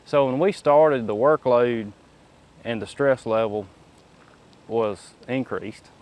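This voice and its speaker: A man giving reflective, matter-of-fact interview answers in a somewhat halting way. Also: medium pitch, a little mellow. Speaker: dairy business interviewee.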